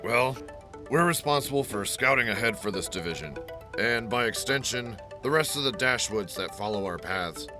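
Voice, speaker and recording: A man's voice: mid-range voice, animated, moderate pace, lightly acted. A man playing a mild, plain-spoken military scout captain being interviewed in an audio drama, polite and unassuming. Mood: mild, slightly awkward. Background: music.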